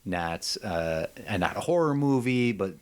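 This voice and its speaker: A male podcast co-host with a fairly low voice. His pitch swings around in a very animated way as he banters and comments casually. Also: a little slow, clear, resonant tone.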